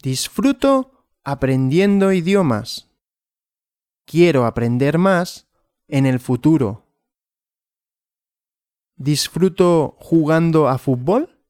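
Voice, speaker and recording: Very slow, deliberate male Spanish teacher. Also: on the high side, lively intonation, a little mellow, dry recording, studio quality. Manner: very slow, deliberate, repeating and asking himself questions. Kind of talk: slow graded language lesson with questions and answers.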